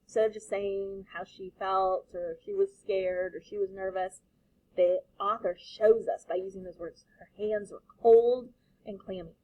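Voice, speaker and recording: A woman teacher reading a picture book aloud to children, expressive and pausing to explain. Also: medium pitch, poor audio.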